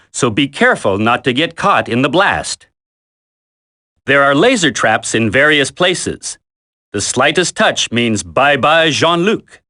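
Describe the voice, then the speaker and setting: A man's voice: mid-range voice, sharp tone, no room sound, clean studio sound. A man voicing a stern military combat instructor in a video game tutorial, giving blunt, emphatic instructions. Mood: stern, wry. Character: stern, gruff male military combat instructor briefing a trainee. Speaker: training instructor.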